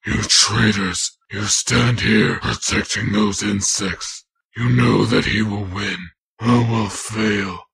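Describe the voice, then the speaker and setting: A man voicing a hostile giant dirt golem in an audio drama, slowly delivering threats in a raspy, gravelly voice. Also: on the low side, fairly bright tone, exaggerated voice. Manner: slow, menacing pronouncements. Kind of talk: amateur audio drama acting.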